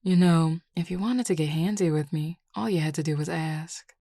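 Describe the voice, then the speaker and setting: A woman's voice: deep voice, moderate pace, warm tone, dry recording, clean studio sound. A woman acting a flirtatious, teasing Southern werewolf in an audio roleplay, with a Southern US accent and a colloquial drawl. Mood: playful, flirty.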